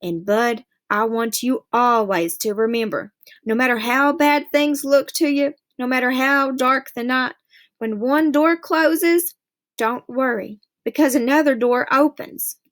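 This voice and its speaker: A woman's voice: high voice, measured pace, a bit bright. A woman voicing a fussy, dramatic mother in a storybook reading, speaking emphatically and theatrically. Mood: earnest.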